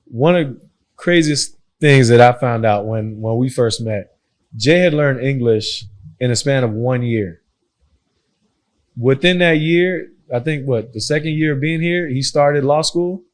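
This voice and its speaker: A man's voice: medium pitch, unhurried. Podcast host guy, grew up haiti and miami, easygoing, keeps saying for sure and my man. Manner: warm, affirming, chuckles often, repeats phrases. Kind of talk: casual interview hosting.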